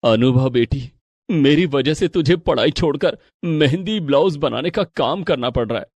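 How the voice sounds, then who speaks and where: A man's voice: fairly high pitch, expressive, normal speed, darkish tone, no echo. A man voicing a concerned, stern middle-aged father in a family story, speaking earnestly. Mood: worried. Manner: earnest, admonishing.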